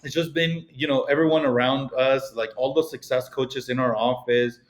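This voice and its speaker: A middle-aged man with a Mexican accent speaks as a podcast guest at a mid pitch. He rambles in a loose, casual way, leaning on "you know" and "like" as he goes. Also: steady tone, moderate pace, poor audio. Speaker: podcast guest, real estate broker. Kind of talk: casual interview conversation.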